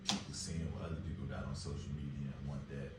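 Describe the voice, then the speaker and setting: A man in his late twenties jokes around in a very deep voice, slangy and loose. He is heard from a distance in an echoey room. There is noise in the background. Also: little pitch movement, quick pace. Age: 20s. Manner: joking, slangy banter. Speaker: podcast host. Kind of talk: casual chat.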